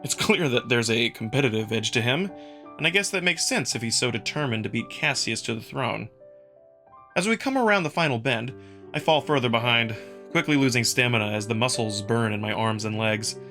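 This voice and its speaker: A man reading scripted first-person narration from a visual novel in an expressive storytelling manner. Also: mid-range voice, a little fast, soft background music. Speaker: narrator and voice performer.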